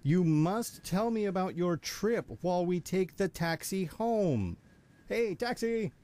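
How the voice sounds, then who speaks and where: A man's voice: high-pitched, expressive, slow pace. A man playing a kindly, flustered middle-aged unicorn stallion father in an amateur audio drama. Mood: loud, hearty pretence. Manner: hesitant, stammering, then loudly enunciating.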